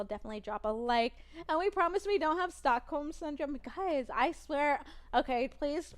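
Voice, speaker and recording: A young woman chatting on her stream in a rambling, giggly way, her voice high and smooth. It is naturally soft and a little tired from long hours of talking, and she keeps things casual and loose. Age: young adult.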